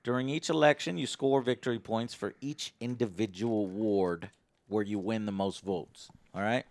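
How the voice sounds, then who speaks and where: A man with a fairly low voice hosts a tabletop game. He explains rules in a casual way, partly reading them out, and the sound is close and dry with little room in it. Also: moderate pace, no room sound. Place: indoor game table on a livestream.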